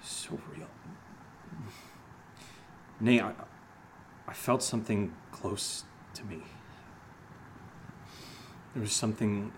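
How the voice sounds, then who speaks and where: A sardonic guy rattled by fear in a horror audio drama. His voice sits low, and he talks hesitantly, hiding nerves behind sarcasm. A faint noise sits behind him. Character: sardonic, shaken man named Steve, joking through fear.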